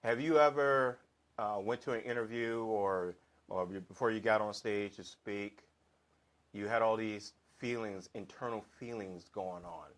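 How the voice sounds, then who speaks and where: A man hosting a talk show as a hypnotherapist, speaking earnestly and haltingly with frequent fillers and restarts. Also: lowish voice, fairly even intonation. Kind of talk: slow, earnest monologue introducing a guest.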